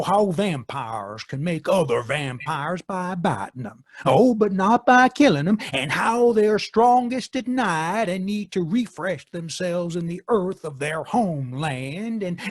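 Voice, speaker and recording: Man playing husky, excitable rural husband. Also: high-pitched, slow, few pauses, dry recording, exaggerated voice. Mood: grumbling, uneasy. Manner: folksy, muttering, stammering. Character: excitable, grumbling farmhand husband Herman, rural and superstitious. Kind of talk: old-time radio play acting. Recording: dry live video-call recording.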